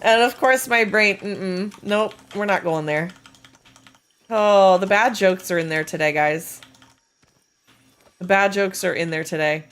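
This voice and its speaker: A woman with a slightly hoarse, gravelly voice, chatting casually with viewers on a game live stream while reading and answering chat. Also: medium pitch, unhurried, pauses often, a bit bright. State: slightly hoarse, losing her voice.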